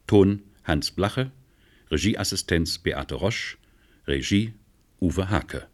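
A man's voice: sits low, animated, slow pace. A man announcing radio drama credits in a formal, scripted style.

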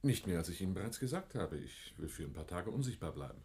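An actor in a German radio play playing a shrewd, guarded man in hiding with a husky voice. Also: low-pitched, sing-song, quick pace, darkish tone, slight background noise. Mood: guarded, probing.

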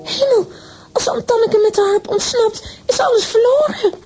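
A woman's voice: extremely high pitch, moderate pace, over music. An actress playing a spirited, impulsive young girl with dramatic, exclamatory delivery in a children's audio drama. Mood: alarmed.

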